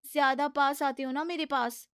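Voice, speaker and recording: A very high, closely recorded young daughter-in-law, acted by a woman in a comic household story. She nags and pleads with cheeky exasperation. Her delivery is insistent and scolding. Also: fairly even intonation, normal speed, no echo, clean studio sound. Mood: sly teasing.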